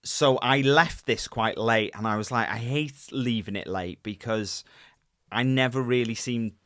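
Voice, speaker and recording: A man co-hosts a casual podcast and talks in an animated, rambling way, full of fillers and restarts. His voice sits in a middle pitch range. The recording is dry and close-miked. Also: measured pace, no room sound. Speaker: podcast co-host.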